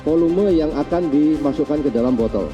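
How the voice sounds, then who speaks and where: A man giving a formal police press briefing in halting, short phrases. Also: fairly high pitch. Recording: dull lo-fi sound, music behind.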